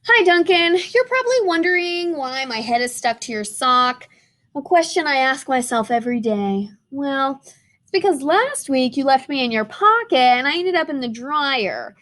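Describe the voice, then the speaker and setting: A woman's voice: high voice, big pitch swings, moderate pace, a bit bright, pitched above the performer's own voice. A woman voicing a chatty, silly crayon stuck to a sock, reading its letter in a bouncy, pleading way.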